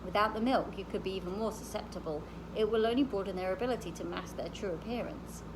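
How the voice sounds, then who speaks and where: A woman reading the part of a calm, practical, knowledgeable woman explaining things in a storybook. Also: medium pitch, fast talker, background noise, close to an everyday voice. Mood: matter-of-fact.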